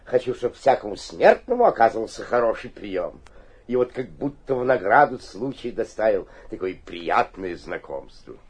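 A husky, fawning, cunning town mayor. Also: male voice, fairly low pitch, moderate pace, exaggerated voice. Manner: fawning flattery with muttered suspicious asides. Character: obsequious, cunning provincial town mayor, privately scornful. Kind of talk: theatrical radio drama acting.

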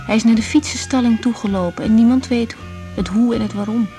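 Actress as tired young woman doctor, radio drama, dark warm tone, kinda intimate and overwhelmed. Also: a little high, fairly quick, soft background music, close to an everyday voice. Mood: drained, upset. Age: young adult. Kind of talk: naturalistic radio drama, diary-like monologue and dialogue.

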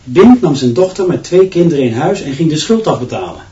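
A man's voice: fairly high pitch, sing-song, fairly quick, warm tone. An actor playing a cynical, gossipy male teacher in a radio play, talking insistently and emphatically about school matters. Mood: confiding, knowing. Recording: roomy, distant, slightly dull sound.

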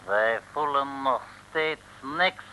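An actor playing a meek, humble servant who speaks self-abasing, stilted broken sentences in an old comic radio serial. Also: male voice, mid-range voice, slow, bright, forceful tone, low-quality recording. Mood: flat, humble. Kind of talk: 1950s-60s comic radio serial acting. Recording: hissy vintage radio recording.

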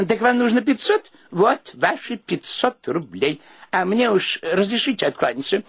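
An actor in a radio play, playing a polite, unctuous gentleman lawyer who talks in a courteous, mannered, drawn-out way. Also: high voice, very animated, husky, no echo, exaggerated voice. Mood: amused, courteous. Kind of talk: Soviet radio play acting.

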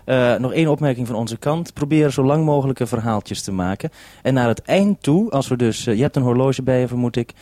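Mellow-voiced radio man, dry sound. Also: medium pitch, animated, brisk, no room sound. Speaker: radio host. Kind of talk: two-way radio call before a broadcast, giving instructions.

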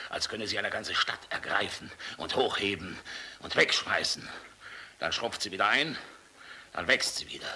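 An actor playing a defiant, bitter German general in a radio play, gruff, blunt and sardonic in manner. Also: male voice, low-pitched, pushed and bright, full character voice.

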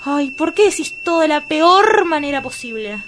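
A prim Argentine woman acted out by a woman with a slightly high voice. She questions pointedly and sarcastically, in the manner of a radio-play dialogue, and is easily disgusted. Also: lively intonation, normal speed, background noise. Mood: probing, wry. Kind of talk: dramatized dialogue reading.

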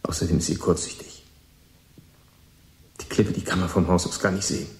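A troubled teenage boy in a radio play, with a low, dark voice. He is gentle, earnest and halting, heard in a roomy, echoing space. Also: level tone. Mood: subdued, halting. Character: troubled, gentle sixteen-year-old boy confessing a killing. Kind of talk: German radio play acting. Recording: echoing, roomy studio scene.

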